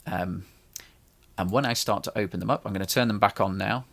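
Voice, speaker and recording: A man's voice: lowish voice, a little fast, gravelly, fairly bright tone. A man casually explaining his home studio mixing process, in a loose, rambling way with many restarts. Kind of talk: casual tutorial talk.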